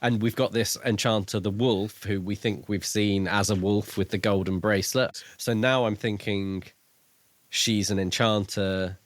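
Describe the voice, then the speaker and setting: Lower-voiced man, measured podcast chat. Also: steady tone, no echo. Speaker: podcast co-host. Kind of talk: casual book discussion.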